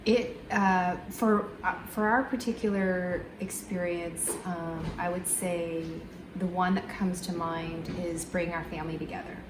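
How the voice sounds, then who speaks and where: A woman answering interview questions thoughtfully, pausing often with ums. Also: lowish voice, steady tone, echoey room, background noise. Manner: thoughtful, many ums and pauses.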